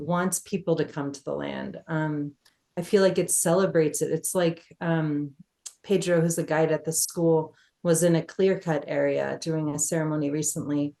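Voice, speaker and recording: A woman's voice: low voice, dark tone. A woman speaking on a panel in a casual, heartfelt way, with frequent fillers. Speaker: panelist, wilderness guide. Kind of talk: panel discussion.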